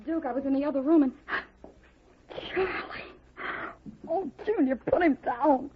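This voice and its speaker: A woman's voice: high-pitched, measured pace. An actress playing a gangster's anxious girlfriend in a 1950s radio drama, fretful and pleading. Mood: anxious, frightened. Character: anxious, jittery gangster's girlfriend, wary and reluctant. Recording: muffled, noisy vintage radio recording.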